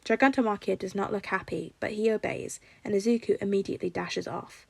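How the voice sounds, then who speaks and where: A woman's voice: mid-range voice, fairly even intonation, fairly quick, vocal fry, a little mellow, husky, dry recording. A woman reading a scripted story aloud as a fiction narrator. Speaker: podfic narrator.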